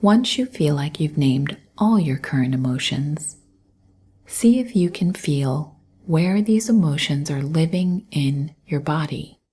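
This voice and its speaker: A woman guiding a mindfulness meditation in a soft, breathy voice, gently and calmly, with long pauses between instructions. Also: low-pitched. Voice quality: soft, breathy, often near a whisper. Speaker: meditation guide. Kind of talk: guided mindfulness meditation, scripted narration.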